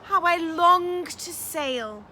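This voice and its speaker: A tiny, adventurous female sea snail from a storybook, voiced by a woman. She is high and bright, stretching her words with restless, emphatic longing. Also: expressive, drawn-out words, slight background noise, exaggerated voice. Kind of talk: storybook character voice.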